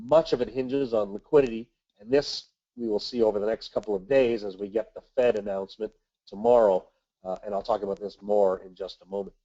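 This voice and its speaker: A man with a nasal voice from a cold, hosting a weekly investment webinar and reading market commentary in a measured way with the odd filler. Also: on the low side, steady tone, dark tone, dull audio. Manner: measured, reads from notes with filler uhs. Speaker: webinar host, investment manager.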